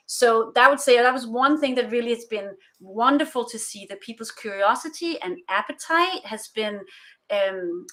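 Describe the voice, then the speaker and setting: A woman with a Danish accent speaks as an interview guest, her voice sitting a little high. She talks in a thoughtful, unhurried way, often pausing with "uh" as she builds her points. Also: fairly bright tone. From Denmark. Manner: thoughtful, many filler "uh"s. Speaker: guest, remote collaboration consultant. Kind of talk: conversational interview answers.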